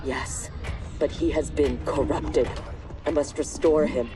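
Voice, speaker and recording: An actress playing an earnest, determined armored warrior in a video game cutscene, speaking directly. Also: female voice, deep voice, fairly even intonation, slow pace, rough recording. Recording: music over the voice.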